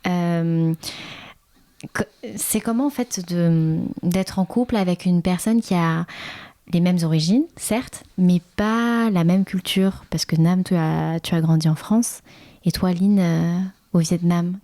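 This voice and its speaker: A woman's voice: lowish voice, slow, mellow. A woman hosting a podcast interview, asking questions casually and encouraging the guests with frequent murmurs.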